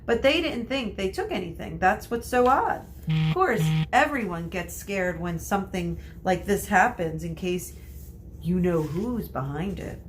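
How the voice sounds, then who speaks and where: A woman's voice: lowish voice, lively intonation, a little slow, a little hiss or hum. A woman voicing a gloomy, plain-spoken young wizard boy who grumbles his way through a storybook. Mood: gossipy.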